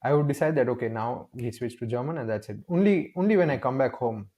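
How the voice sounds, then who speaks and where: A man's voice: medium pitch, quick pace. A young man from India, a guest in an interview, telling stories animatedly, acting out little dialogues and often restarting his sentences. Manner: animated storytelling, acts out dialogue, many restarts. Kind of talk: casual conversational interview.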